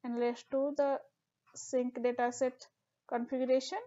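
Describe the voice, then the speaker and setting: A woman narrates a screen-recorded software tutorial, explaining each step with occasional fillers. Her voice sits a little high and mellow. The recording sounds dull and lo-fi. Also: little pitch movement, a little slow. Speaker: tutorial instructor.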